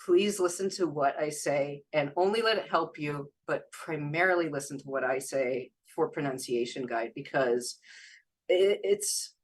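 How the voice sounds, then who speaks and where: Low-pitched woman rambling along in casual chat, laughs a lot, translator type. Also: measured pace, slight background noise. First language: English. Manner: chatty, rambling, with frequent laughs. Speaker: guest, translator and former Chinese teacher.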